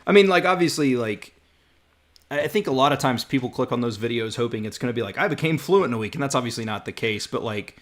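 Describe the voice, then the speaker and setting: A man's voice: mid-range voice, lively intonation, fast. A man hosting a podcast in casual chat, often repeating words and trailing off with fillers. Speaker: podcast host.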